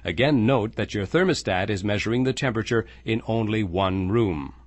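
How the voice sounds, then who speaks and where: A man with a fairly low voice reads scripted training narration in a measured, explanatory manner. The close studio mic leaves his voice dry, with no room sound. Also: expressive, no echo. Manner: measured, clear explanatory delivery.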